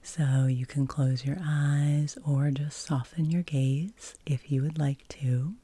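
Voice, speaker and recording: Whispery, very slow-paced ASMR woman. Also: sits low, fairly even intonation. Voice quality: breathy, whispery soft-spoken. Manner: slow, soothing, gentle. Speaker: ASMR host and guide. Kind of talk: ASMR guided relaxation.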